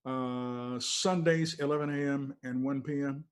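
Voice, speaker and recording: Older American male, veteran DJ as interview guest, mid-pitched, casual storyteller, emphatic and digressive. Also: steady tone, measured pace, clear, resonant tone.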